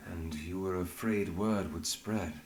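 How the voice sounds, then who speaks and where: A composed leader talks in a deep voice with a measured, earnest manner. It is formal fantasy game dialogue over faint noise. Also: male voice, darkish tone. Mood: concerned, careful. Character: measured, concerned male investigator, tactful leader. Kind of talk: dramatic video game voice acting.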